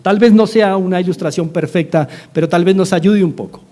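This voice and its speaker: A man's voice: high voice, brisk, warm tone. A middle-aged man with a Colombian accent, preaching a sermon as a pastor, earnestly repeating his exhortations. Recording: studio-like radio sermon.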